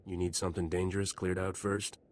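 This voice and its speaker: An actor playing a calm, fair-minded male adventurer in a video game, speaking in a terse, measured way. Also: low-pitched, natural voice. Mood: steady. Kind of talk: scripted video game dialogue. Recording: noisy game capture.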